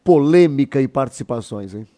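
A man's voice: medium pitch, expressive, normal speed, warm tone, a little hiss or hum. A man hosting an interview, asking friendly questions and prompting with short affirmations. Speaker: interviewer, host.

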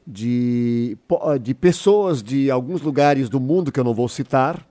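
A man's voice: mid-range voice, animated, measured pace, mellow. A man hosting an interview, asking friendly questions and prompting with short affirmations. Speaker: interviewer, host.

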